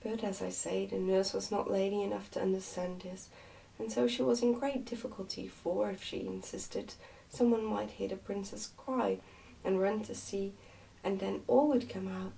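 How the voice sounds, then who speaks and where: A woman narrates a children's story in a soft ASMR style. Her voice is mellow and relaxed, with noticeable creak. She is recorded slightly away from the microphone, with faint noise behind. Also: medium pitch, normal speed, recorded from a distance, poor audio. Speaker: ASMR reader.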